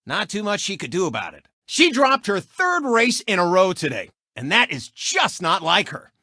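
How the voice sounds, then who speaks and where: An actor voicing a boastful, smug race car who hosts a racing TV show in a video game, with a cocky, sneering, showboating delivery. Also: male voice, high-pitched, huge pitch swings, moderate pace, bright, forceful tone, no room sound, clean studio sound, exaggerated voice. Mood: smug, dismissive. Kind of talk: animated sportscaster commentary for a video game.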